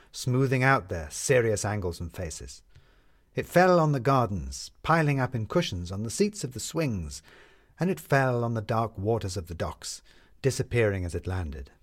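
A man narrating a scripted story in a measured, lyrical storytelling manner. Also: on the low side.

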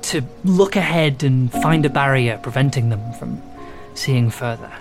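Actor doing a weary haunted submariner, really slow n halting dramatic monologue, music behind. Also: mid-range voice, lightly acted. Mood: wistful. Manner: halting, reflective, deliberate pauses. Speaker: audio drama performer. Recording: music under the voice.

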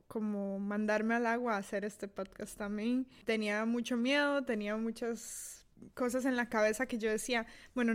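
A young adult woman with a Costa Rican accent, hosting her own podcast in a reflective, self-deprecating solo talk with many fillers and restarts. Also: on the high side. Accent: Costa Rican Spanish. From Costa Rica. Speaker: podcast host. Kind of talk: casual solo monologue.

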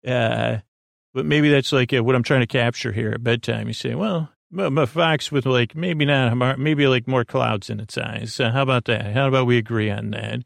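A man hosting a sleep podcast, talking in a meandering, halting way with many fillers and restarts. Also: medium pitch, steady tone, creaky, dry recording, studio quality. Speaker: podcast host. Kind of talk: rambling sleep podcast intro.

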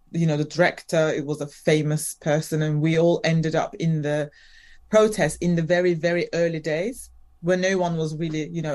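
A woman's voice: low-pitched, darkish tone. Hesitant woman from Turkey, fillers. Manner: hesitant, many fillers and restarts. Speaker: guest. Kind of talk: casual podcast chat.

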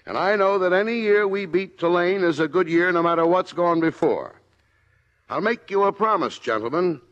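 A man's voice: high-pitched, expressive, normal speed, a bit bright. An American man acting a harried middle-aged football coach in a 1950s radio comedy, emphatic and prone to stammering. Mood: determined. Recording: dull, band-limited old radio recording.

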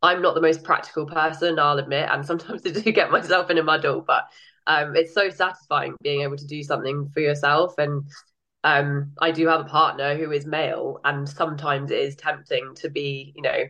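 A woman's voice: deep voice, fairly even intonation, fairly quick, sharp tone, lo-fi sound. A woman guest on a podcast, a bit nasal from a cold, talking enthusiastically and laughing often. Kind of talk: casual interview chat.

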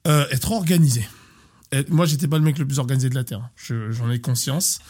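A man in his thirties, a sports coach, talking casually in an interview, animated and often repeating and restarting words. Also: medium pitch, fairly quick, slightly rough. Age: 30s.

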